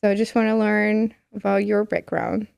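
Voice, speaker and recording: Host: woman from Russia, mid-pitched with lots of vocal fry, dry close sound, chatty and encouraging. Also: level tone, moderate pace, darkish tone, no room sound. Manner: chatty, encouraging, frequent backchannels. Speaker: podcast host. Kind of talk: casual interview questions.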